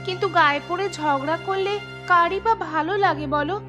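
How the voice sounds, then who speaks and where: High-voiced, worried village wife. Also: female voice, normal speed. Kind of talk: cartoon voice acting. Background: background music.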